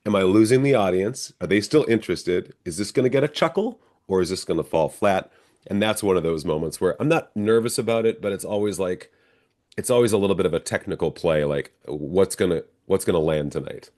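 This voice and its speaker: A man's voice: sits low, a little fast, a little mellow. A middle-aged man, an actor, answering interview questions in a reflective, conversational way. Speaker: stage and screen actor, interview guest.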